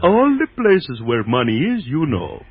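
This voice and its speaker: Power-crazed radio doctor, highish swooping voice, gloating, tinny old muffled broadcast. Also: male voice, wildly swooping pitch, slightly rough, no room sound, full character voice. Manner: theatrical, deliberate, emphatic. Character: grandiose megalomaniac male scientist, gloating over his secret power. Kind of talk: old-time radio horror acting.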